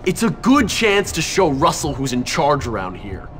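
A man's voice: on the high side, very animated, moderate pace, faint music behind. A man voicing a scheming teenage schoolboy in a video game, with a sneering, theatrical, sarcastic manner. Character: scheming, mocking teenage schoolboy with big ambitions. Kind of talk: video game cutscene acting.

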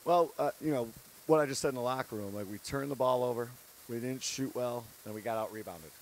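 A man's voice: medium pitch, brisk, a little mellow, slight background noise, poor audio. A man in his thirties, a head basketball coach, giving an earnest, candid post-game interview on a handheld mic. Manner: earnest, candid, laughs between points. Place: courtside set in an empty arena.

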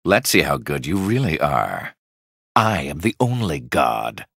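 A man's voice: very deep voice, big pitch swings, unhurried, creaky, fairly bright tone, husky, no echo, clean studio sound, full character voice. A man voicing an arrogant, cold video game supervillain with a god complex, menacing and condescending in clipped lines. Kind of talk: fighting game voice acting.

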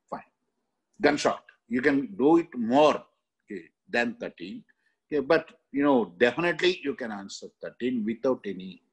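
An older man with an Indian accent and a husky voice of medium pitch. He teaches like an exam coach, going through questions in short halting phrases and often repeating himself. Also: low-quality recording. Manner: halting, repetitive, with frequent "okay" tags. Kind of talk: lecture explaining exam questions. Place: indoor room, seated.

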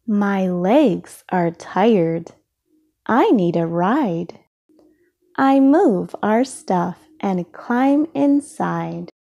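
A woman with a slightly husky voice reading a children's storybook aloud, expressively and with deliberate phrasing for young listeners. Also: medium pitch, expressive, mellow, highs cut off. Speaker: storybook reader.

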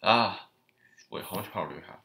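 A man's voice: sits low, animated, brisk, heavy background noise. A man giving casual gameplay commentary, chatty and thinking aloud, often repeating words as he goes. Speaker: gameplay youtuber.

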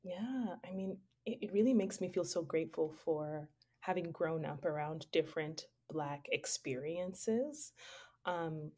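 A woman from Zambia, a playwright and actor, answering interview questions in a thoughtful, relaxed way and laughing easily. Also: deep voice, a little slow, husky. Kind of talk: casual interview chat.

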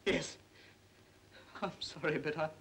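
A young English man, shaken, worn out and earnest. It is old film acting on a clearly noisy soundtrack. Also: fairly high pitch, fairly even intonation, takes it slowly, a bit bright, heavily acted. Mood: urgent, exhausted. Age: young adult. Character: shaken, weary, urgent young accident survivor. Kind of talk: 1950s British film acting.

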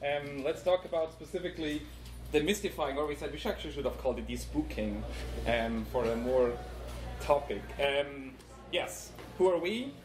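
A man's voice: a little high, normal speed. A man from Switzerland with a Swiss accent, presenting a conference talk and live demo with many ums, explaining step by step. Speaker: conference speaker. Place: conference room. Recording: echoey room with faint noise.

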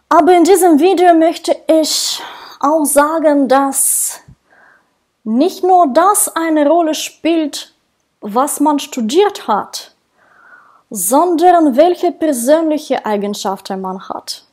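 Slow, high-voiced woman, non-native German. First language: not German. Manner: slow, careful speech with frequent hesitations and soft laughs. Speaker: translator and interpreter. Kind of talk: solo talk to camera about her career. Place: indoors, small room with dark backdrop. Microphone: wired earphone mic.

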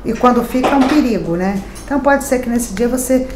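A woman's voice: fairly high pitch, a little fast. A middle-aged Brazilian woman giving a daily horoscope card reading to camera, chatty and emphatic, talking straight to her viewers. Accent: Brazilian Portuguese. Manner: chatty and emphatic, addressing viewers directly with tag questions. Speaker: tarot reader. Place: small decorated home studio room. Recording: echoey room with faint ambient noise.

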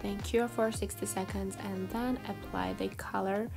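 A woman's voice: mid-range voice, fairly even intonation, normal speed, gravelly. Chatty woman over background music. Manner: chatty, self-deprecating. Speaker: beauty vlogger. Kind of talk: casual tutorial voice-over.

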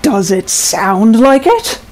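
Menacing, slow-talking volatile guest. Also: female voice, fairly high pitch, very animated, a little mellow, exaggerated voice. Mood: angry, defiant. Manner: menacing, slow and deliberate, contemptuous. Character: volatile, threatening female short-term rental guest. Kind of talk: audio drama horror acting.

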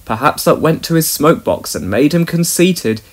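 A man voicing a wise older male mountain engine who talks in a measured, gently rueful way. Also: a little high, big pitch swings. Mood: reflective.

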